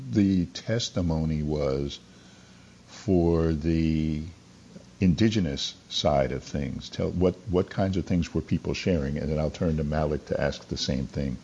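Guy hosting live radio, really deep husky voice, bit muffled sound. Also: warm tone. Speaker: radio host.

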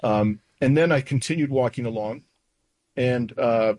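A man in his sixties with a North American accent recounts events in an interview, pausing often with uhs and restarts. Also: mid-range voice, darkish tone, dry recording. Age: 60s. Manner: halting, with frequent uhs and restarts. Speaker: interview guest.

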